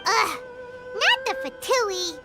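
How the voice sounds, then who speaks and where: An actress voicing a tiny, excitable, childlike fairy companion in a video game, squeaky and easily indignant. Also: female voice, slow, heavily acted. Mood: resigned, curious. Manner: squeaky, indignant, talks in third person. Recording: loud game music behind.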